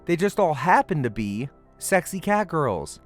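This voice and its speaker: Animated male reviewer over background music. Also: on the high side, unhurried. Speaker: host, anime reviewer. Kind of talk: scripted video essay narration, casual first-impressions review.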